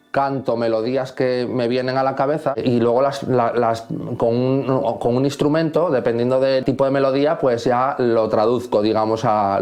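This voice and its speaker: A man with a mid-pitched voice talks as a composer being interviewed. He reflects on his own work, often hesitating as he searches for the next word. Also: darkish tone. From Asturias, Spain.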